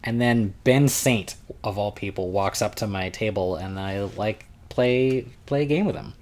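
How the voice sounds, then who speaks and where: A young man with a loud voice telling a long, rambling convention story at home, often restarting his sentences. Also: on the low side, measured pace. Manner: rambling, self-interrupting, chatty. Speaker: vlogger recounting a convention. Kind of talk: casual storytelling vlog.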